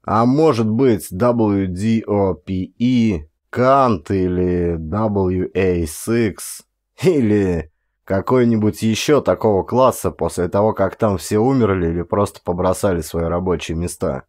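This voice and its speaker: A man's voice: low voice, a little slow, a little mellow. A middle-aged man reading a short story as an audiobook narrator, in a dramatic scripted style.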